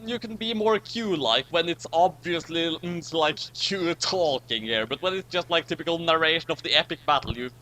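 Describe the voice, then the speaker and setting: A man's voice: a little high, moderate pace, hardly any pauses, sharp tone, a little hiss or hum, low-quality recording. A man giving casual, riffing commentary as a co-commentator on a story.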